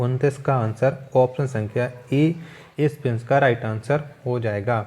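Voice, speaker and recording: A man's voice: mid-range voice, a little mellow. A man teaching an exam-prep quiz lesson, reading out questions, asking them again rhetorically and repeating the answers.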